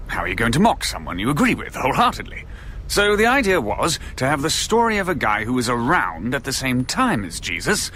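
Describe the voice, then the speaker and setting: A man's voice: medium pitch, pushed and bright, slight background noise. An actor playing a male comedian who narrates his film-making memories in a radio drama, wry and emphatic.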